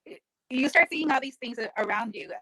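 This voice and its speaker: A woman's voice: high-pitched, fairly quick, pushed and bright, dry recording, poor audio. A woman from South Texas speaking earnestly on a video-call panel, reflecting on leaving home and returning. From Rio Grande Valley, South Texas. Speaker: panelist poet. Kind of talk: casual panel discussion. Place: home room.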